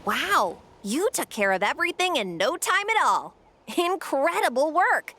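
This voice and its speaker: An actress playing an earnest, cautious young woman who organizes an arena in a video game, expressive and friendly. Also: high-pitched, measured pace, slight background noise, full character voice. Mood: impressed. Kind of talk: video game dialogue acting.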